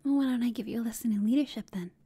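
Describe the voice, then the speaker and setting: A woman playing a flirtatious, smug female villain who taunts an enemy in a breathy whispered ASMR roleplay. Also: a little high, warm tone. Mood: flirtatious teasing. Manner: slow, teasing, smug.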